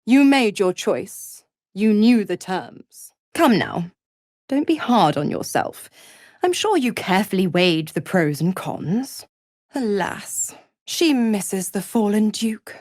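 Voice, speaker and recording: An actress voicing a seductive, gloating devil patron in a video game, with a silky, condescending, theatrical manner of cruel teasing. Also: female voice, mid-range voice, sing-song, slow pace, darkish tone, dry recording, clean studio sound. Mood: taunting, menacing. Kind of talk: video game character voice acting.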